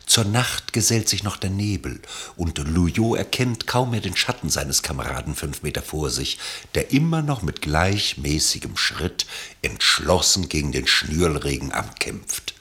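Very deep, creaky male narrator. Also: animated, measured pace, pushed and bright. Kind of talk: scripted literary narration of a radio play.

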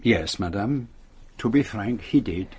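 An actor playing a shrewd, courteous detective with a French-speaking Belgian accent, speaking formally and precisely in a radio drama. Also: male voice, fairly low pitch, expressive, a little mellow, slightly rough, full character voice. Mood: calm, probing. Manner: formal, measured, precise phrasing. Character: shrewd, courteous male detective, self-assured and commanding.

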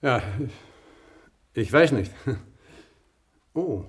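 An actor playing an uneasy, reluctant professor in a German radio play, hesitant and guarded in manner. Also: male voice, mid-range voice, animated, very slow. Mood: wary, puzzled. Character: uneasy, reluctant male professor visiting an institution.

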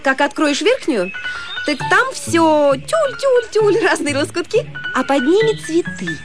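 A woman's voice: very high-pitched, sing-song, unhurried, loud music behind the voice, full character voice. An actress playing a flighty young wife in a radio drama, effusive and cajoling, drawing out her words theatrically. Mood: pleading, cajoling. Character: gushing, flighty, coaxing young wife at a summer cottage.

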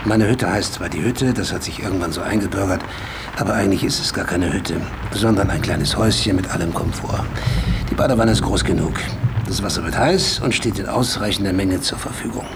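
Actor as deep-voiced, prickly older recluse philosopher. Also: male voice, a little fast, background noise, close to an everyday voice. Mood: brusque, matter-of-fact. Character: older, reclusive, vain male writer-philosopher, prickly and dryly lecherous. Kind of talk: German radio drama acting.

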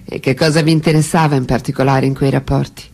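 An actress playing an ironic, frank intellectual woman who questions her longtime lover in a radio drama, with a husky voice. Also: rumbling low, steady tone, quick pace, a little mellow, slight background noise. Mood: probing. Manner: frank, teasing, inquisitive. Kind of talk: radio drama acting, interview-like dialogue.

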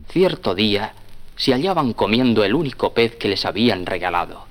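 Narrator for children's stories: man, mid-range voice, animated reading, soft music behind. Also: fairly low pitch, normal speed. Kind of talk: scripted children's story narration.

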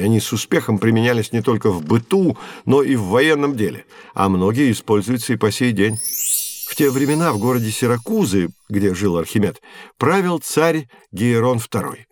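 A man playing a patient science teacher who guides children through a scripted educational audio drama with measured, didactic explanations. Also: medium pitch, lightly acted. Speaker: presenter.

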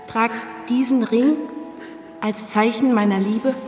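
An actress playing a devoted, hopeful bride of twenty-seven in a radio drama. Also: female voice, a little high, steady tone, slow, a little mellow. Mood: solemn. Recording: echoing church hall with music.

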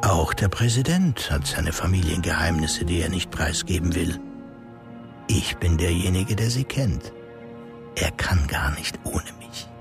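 A man's voice: deep voice, vocal fry, heavily acted. An actor playing a cynical, scheming male power broker in a radio drama, talking in a cold, calculating inner monologue. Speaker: politician character. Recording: studio radio play with music.

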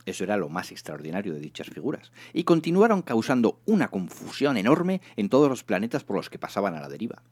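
A man narrating a comic story in a theatrical, expressive scripted reading. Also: fairly low pitch, fast, hardly any pauses. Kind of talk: dramatised comic audiobook narration.

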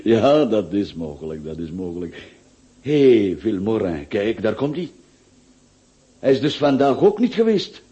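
An actor playing an urbane, drily teasing gentleman friend, mellow and expressive, in a radio play. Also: lowish voice, a little slow. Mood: curious. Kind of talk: Dutch-language radio play acting. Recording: dull lo-fi radio recording.

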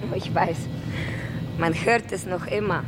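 Eine Schauspielerin als zurückhaltende Kosovarin mit Akzent. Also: female voice, lowish voice, fairly even intonation, unhurried, background noise, close to an everyday voice. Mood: wistful. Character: reserved immigrant woman taking a sightseeing flight. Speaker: radio drama actor.

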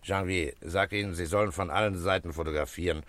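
An actor playing a calm police commissioner in a German radio crime drama, asking short, matter-of-fact questions. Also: male voice, low voice, quick pace. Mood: calm, businesslike. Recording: faint background noise.

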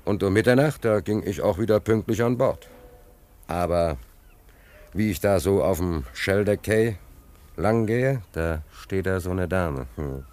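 A man's voice: deep voice, unhurried. A man playing a naive, agitated stranded sailor in a German radio play, telling his woes in an emphatic, colloquial, repetitive way. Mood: reminiscing. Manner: excitable storyteller, emphatic, colloquial, repeats himself. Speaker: actor.

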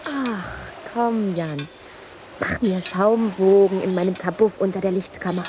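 Eine Schauspielerin spielt im Hörspiel eine neckende, zärtliche Frau vom Leuchtturm, vertraulich im Ton. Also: female voice, medium pitch, fairly even intonation, a little slow, darkish tone, natural voice. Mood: teasing, tender. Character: teasing, affectionate lighthouse woman, lover of captain. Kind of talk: radio drama acting. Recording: clear background ambience noise.

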